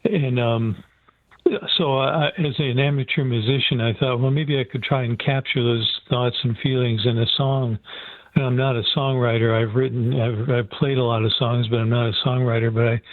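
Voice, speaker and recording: An older man calling into a radio show, speaking earnestly and haltingly, often repeating words. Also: medium pitch, steady tone, dry recording. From Seattle area, USA. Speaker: caller. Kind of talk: phone-in call. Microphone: phone. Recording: tinny phone line, dry.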